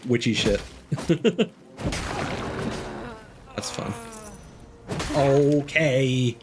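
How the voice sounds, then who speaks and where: A man's voice: medium pitch, extremely slow. A man streaming a game, rambling through live commentary and correcting himself often, with casual swearing. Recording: noisy background.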